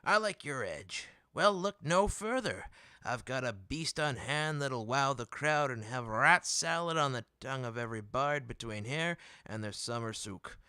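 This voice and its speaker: A man's voice: mid-range voice, animated, unhurried, pushed and bright, clean tone, dry recording, studio quality. A man voicing a whiskered, balding merchant, a brash wheedling huckster with folksy showman patter, in an audiobook. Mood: eager, hawking. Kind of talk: audiobook character voice.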